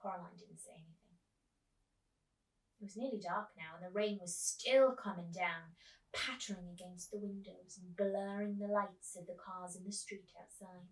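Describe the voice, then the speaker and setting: A woman reading a children's story aloud as a teacher, with expressive narration. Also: fairly low pitch, moderate pace. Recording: roomy echo, distant microphone.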